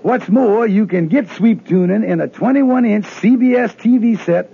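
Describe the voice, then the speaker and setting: An actor playing an excitable, skeptical fellow in an animated, drawling in a 1950s radio sitcom. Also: male voice, high-pitched, normal speed, vocal fry, slightly rough, heavily acted. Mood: wry, skeptical. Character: excitable, wheedling husband and skeptical buddy. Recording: dull old radio broadcast recording.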